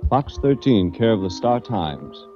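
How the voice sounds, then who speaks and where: An actor voicing a mysterious anonymous letter writer in an old radio drama, giving secretive instructions. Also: male voice, fairly low pitch, big pitch swings, a little slow. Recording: lo-fi 1940s radio with music.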